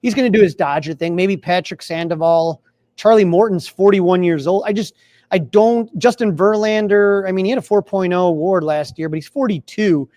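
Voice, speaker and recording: A man with a distinctly high voice and an American accent talks sports casually. He rambles and circles back over his phrases, recorded close and dry. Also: normal speed, darkish tone, dry recording. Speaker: sports podcast host.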